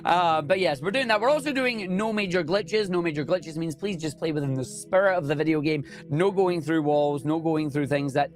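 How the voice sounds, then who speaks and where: Chatty Scottish man, slightly high voice. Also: moderate pace, few pauses, faint music behind. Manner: enthusiastic, chatty, joking. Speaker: speedrunner guest. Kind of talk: casual interview chat.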